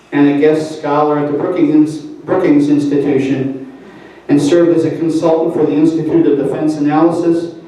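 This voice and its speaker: A man hosting a community event, reading announcements in a halting way with lots of fillers. His voice is mellow and level, and it comes from far off in a very echoey church hall. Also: on the high side. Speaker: event host. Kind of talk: announcements and speaker introduction.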